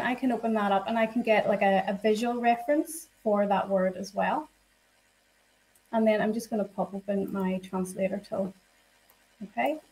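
A woman at her desk, talking through a screen-shared software demo for teachers. She explains each feature in a friendly, step-by-step way, with plenty of "um"s, and she sounds like she is speaking into a computer mic. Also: medium pitch, poor audio. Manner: friendly and explanatory, many fillers. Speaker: software trainer.

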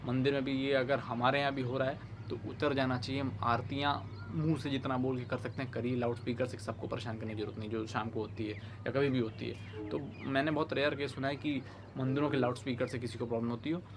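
A young man hosting an opinion commentary to camera, speaking in a pointed, emphatic way with halting phrasing. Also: medium pitch, little pitch movement. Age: young adult. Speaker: online commentary host. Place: outdoors, overlooking a city. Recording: background noise with outdoor ambience and birds.

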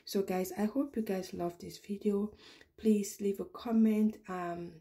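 Mellow-voiced woman chatting while shopping. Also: medium pitch, steady tone, measured pace. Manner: chatty, many ums and restarts. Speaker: vlogger. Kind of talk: casual shop-with-me narration. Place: large home improvement store, indoors.

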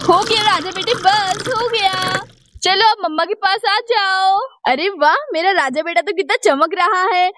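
A woman's voice: very high-pitched, a little slow. A woman voicing a doting young female caregiver in a children's story, cooing and repeating herself soothingly. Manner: cooing, repetitive. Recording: clearly noisy, clicking background.

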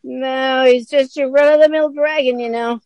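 A woman's voice: high voice, fairly even intonation, normal speed, a little mellow, no echo, highs cut off. A woman acting a flippant, offhand male knave, a petty thief in a comic radio drama. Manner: flippant, casual.

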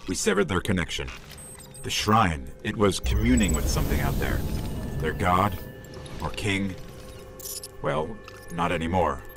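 A man playing a small, watchful robotic AI companion giving expressive mission briefings in a video game. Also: low-pitched, measured pace, vocal fry, long pauses, full character voice. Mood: measured, wary. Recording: loud game music behind.

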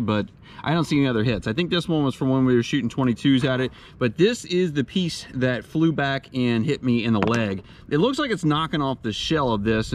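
A man in his thirties talking casually to camera outdoors as a vlog host, with a laid-back, wry, informal manner. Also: medium pitch. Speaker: host of a shooting channel. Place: outdoors at a wooded backyard shooting range.

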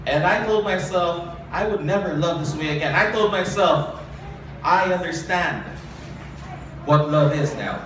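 A young man with a high-pitched voice performs spoken word poetry at a live event. His delivery is earnest and deliberate, shaped by dramatic pauses. The sound is very echoey and picked up from a distance, with clear noise. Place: small live open-mic event. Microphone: handheld and stand microphone, heard from a distant camera.

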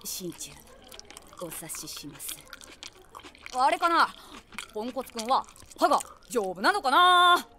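A nosy middle-aged woman with a runaway imagination, telling a comic audio drama as inner monologue. Her voice is fairly high and very animated. She drops wry, theatrical asides as she passes judgment. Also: measured pace, pauses often, a little hiss or hum, heavily acted. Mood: amused commentary. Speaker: narrator and main character.

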